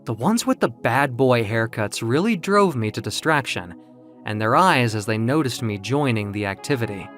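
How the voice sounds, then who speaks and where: A man with a mid-pitched voice narrates a dramatic first-person story. His delivery is theatrical and emotive, rising and falling widely, with music underneath. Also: expressive, moderate pace, a bit bright.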